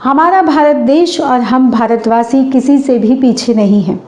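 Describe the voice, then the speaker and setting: A hoarse woman hosts her channel and recites a stage anchoring script in a formal, declamatory way, slowing down for poetic couplets. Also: high-pitched, darkish tone, roomy sound.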